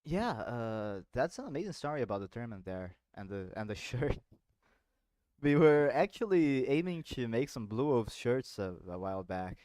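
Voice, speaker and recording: A man hosting a podcast, talking in relaxed, rambling English with frequent asides and an occasional swear. His voice sits at an ordinary middle pitch. The recording is dry with no room echo. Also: moderate pace. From South Brazil. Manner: loose, swearing, explains with many asides. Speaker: podcast host. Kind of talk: casual chat.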